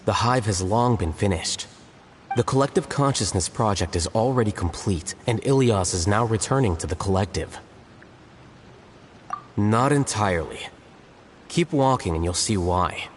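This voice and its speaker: A man voicing a composed, analytical scholar in a video game, speaking in a measured, matter-of-fact way. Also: fairly low pitch, lively intonation, a little hiss or hum, lightly acted. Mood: calm, detached. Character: composed, analytical male scholar, coolly self-assured.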